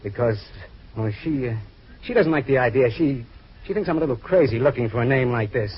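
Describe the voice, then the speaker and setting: A man's voice: medium pitch, lively intonation, a little fast, husky, highs cut off. An actor playing a haunted, nervous retired American man in a 1940s radio drama, hesitant and pleading, on an old recording. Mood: nervous, hushed. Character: anxious, haunted retired male client, softening ex-fighter.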